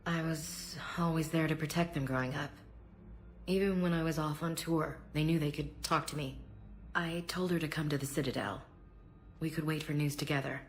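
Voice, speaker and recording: A woman's voice: low voice, steady tone, moderate pace, faint music behind, natural voice. An actress playing a tough but guarded female soldier in a video game, speaking frankly and earnestly. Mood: subdued, vulnerable. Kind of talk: dramatic video game dialogue.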